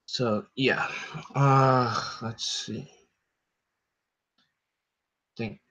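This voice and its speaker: Deep-voiced young man, rambling stream chat. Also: fairly even intonation, drawn-out words, a bit bright. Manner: rambling, lots of "like" and "you know"; reads out and answers chat. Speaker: livestream host. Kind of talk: casual chat. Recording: dull lo-fi stream audio.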